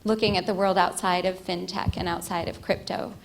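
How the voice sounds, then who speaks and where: A woman from Egypt giving an earnest, measured keynote with a loud speaking voice. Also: on the low side, flat delivery, vocal fry, slight background noise. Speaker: keynote speaker, ethicist and futurist. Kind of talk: keynote talk.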